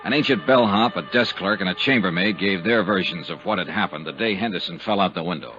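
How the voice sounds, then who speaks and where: An actor playing a dogged, wry insurance investigator in a 1950s radio detective drama. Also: male voice, low voice, fast talker, a bit bright. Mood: wry, clipped. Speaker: radio drama lead and narrator. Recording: dull old 1950s radio recording, music behind.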